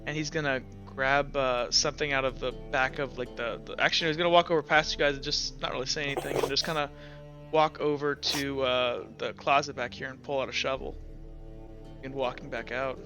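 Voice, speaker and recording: A man improvising narration as a tabletop game master in a casual, halting way. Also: mid-range voice, flat delivery, lo-fi sound, music in the background. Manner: casual, halting, says "like" and "kinda" constantly.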